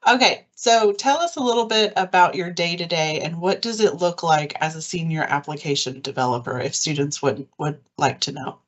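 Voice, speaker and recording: A woman's voice: low-pitched, moderate pace, few pauses. A woman hosting a live interview, upbeat and encouraging as she introduces a guest and asks about his work, over a video call. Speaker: host, career services director. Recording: dull lo-fi online stream audio.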